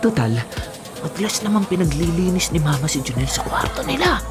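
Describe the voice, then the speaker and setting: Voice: medium pitch, sing-song, a little fast, natural voice. An actor in a Filipino radio drama playing a sly, gleeful, scheming child who giggles and stammers when cornered. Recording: music bed behind the voice.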